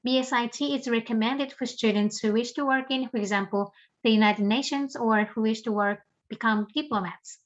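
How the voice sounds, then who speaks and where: Woman reading promo narration, lo-fi. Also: fairly high pitch. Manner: measured, explanatory reading. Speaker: program narrator. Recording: dull lo-fi sound.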